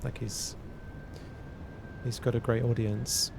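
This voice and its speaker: A man chats on a live stream, thinking out loud and often repeating himself as he works through math problems. His voice sits at an ordinary middle pitch. A steady low rumble of noise runs under the recording. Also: creaky. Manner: thinking aloud, halting, repeats words. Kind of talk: casual live-stream chat, reading chat and working math aloud. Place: cold indoor room.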